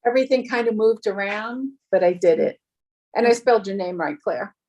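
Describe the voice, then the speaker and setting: A woman's voice: mid-range voice, expressive, fairly quick, a little mellow, highs cut off, slight background noise. A woman who takes the minutes at a board meeting, friendly and slightly flustered. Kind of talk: casual meeting discussion.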